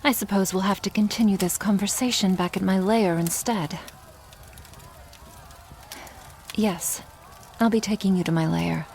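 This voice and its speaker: A woman voicing a protective, tender female dragon in a soft, intimate ASMR roleplay, with formal wording and a hushed, often half-whispered delivery. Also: medium pitch, steady tone, normal speed, creaky, natural voice. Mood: composed, resolute.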